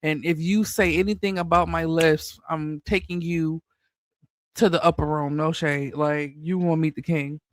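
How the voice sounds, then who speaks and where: A man's voice: on the high side, steady tone, measured pace, no echo, clean studio sound. A man hosting a casual commentary channel, talking loosely with a slight lisp and a bit of a stutter. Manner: stutters a little, loose and chatty.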